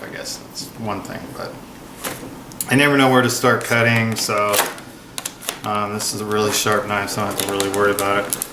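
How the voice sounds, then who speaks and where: A man giving a casual, rambling hands-on product review with an informal, offhand way of talking. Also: medium pitch. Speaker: product review video host. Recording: echoey room with clear background noise.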